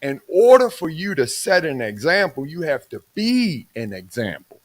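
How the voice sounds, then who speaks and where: A man's voice: a little high, sing-song, normal speed. A man in his sixties co-hosting a podcast, talking in a preachy, emphatic way and drawing out his phrases. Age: 60s. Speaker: podcast co-host. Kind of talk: casual podcast chat.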